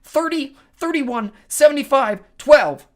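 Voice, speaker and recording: Crazy old witch hag for a storybook, super high screechy, bickering and wailing, pitch all over the place. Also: huge pitch swings, quick pace, fairly bright tone, full character voice. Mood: desperate. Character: shrieking, quarrelsome ancient witch sisters, frantic cab-driving hags.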